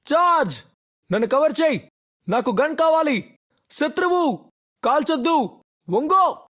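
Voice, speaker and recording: A video game squad soldier with a very high voice. He fires off short tactical commands to teammates, clipped and commanding. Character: male battle-royale squad soldier calling out tactical commands. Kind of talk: short game voice-pack callouts.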